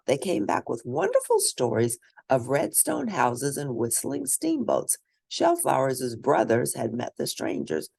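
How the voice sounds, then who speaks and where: A woman reading a children's story aloud and discussing it in an expressive, teacherly manner. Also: a bass voice, gravelly, dry recording, clean studio sound. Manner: expressive, teacherly, asks questions of listeners. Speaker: storybook reader.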